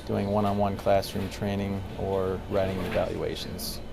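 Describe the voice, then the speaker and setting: Very gravelly, deep-voiced man. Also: level tone, moderate pace. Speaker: air traffic controller. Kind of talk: casual interview answers about own job. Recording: clear ambient background noise.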